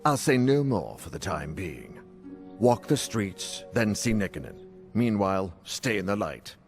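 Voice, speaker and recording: Noble town lord, low pitch, lively intonation, formal, probing, fantasy game cutscene. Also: male voice, a little slow, soft background music, exaggerated voice. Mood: grave, courteous. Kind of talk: video game cutscene acting.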